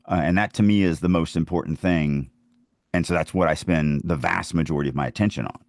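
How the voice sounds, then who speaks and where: A man with a deep, low voice talks casually as a podcast host and writing teacher. He is informal and opinionated, explaining things through personal stories. The sound is dry and close, with little room in it. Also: a little fast, dry recording. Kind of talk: casual conversational writing advice.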